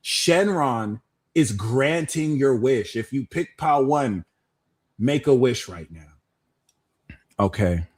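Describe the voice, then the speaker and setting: A man's voice: mid-range voice, expressive, takes it slowly, long pauses, husky, dry recording. A man hosting a live pick-a-card tarot reading, talking in a playful, slangy way with jokes in between. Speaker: tarot reader and host.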